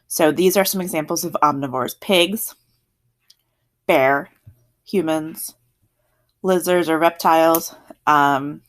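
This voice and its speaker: A woman with a South Jersey accent teaches a science lesson to her students. She talks in a friendly, chatty way, sitting a little low in pitch for a woman, and she catches and fixes her own slips as she goes. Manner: friendly, chatty explaining with self-corrections. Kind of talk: recorded classroom lesson.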